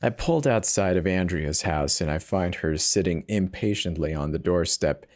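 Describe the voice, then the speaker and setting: A man's voice: deep voice, expressive, moderate pace. A man narrating a first-person horror story in a dramatic scripted reading, with a weary edge. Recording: clear noise bed behind the voice.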